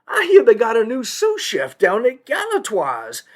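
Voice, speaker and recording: A man's voice: very high-pitched, huge pitch swings, measured pace, pitched above the performer's own voice, heavily acted. A man voicing a pompous, clubby good-old-boy judge, in a live-told story. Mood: jovial, clubby. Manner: drawling, incredulous, clubby.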